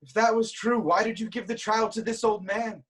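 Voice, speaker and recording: A man plays a proud king of Greek tragedy in torment. The voice is high and husky, with theatrical, anguished weight on the words. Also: steady tone, normal speed, fairly bright tone. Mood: demanding.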